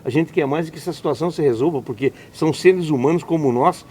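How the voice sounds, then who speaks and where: A man's voice: fairly high pitch, fast talker, a little mellow, a little hiss or hum. A Brazilian man hosting an interview, talking indignantly in long run-on sentences with many restarts. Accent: Brazilian Portuguese. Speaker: interview host. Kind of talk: conversational interview.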